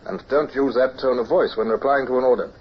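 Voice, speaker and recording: A male actor playing a composed, dutiful British naval officer in an old radio drama, speaking in a measured, formal British manner. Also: medium pitch, steady tone, close to an everyday voice. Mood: stern. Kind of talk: old-time radio drama acting and narration. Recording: muffled old radio recording with hiss.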